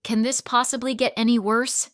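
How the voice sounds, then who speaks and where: A woman's voice: medium pitch, animated, normal speed, no room sound, clean studio sound, natural voice. A woman reading the lines of a young husband who argues back firmly and defensively. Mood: exasperated. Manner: firm, yelling back.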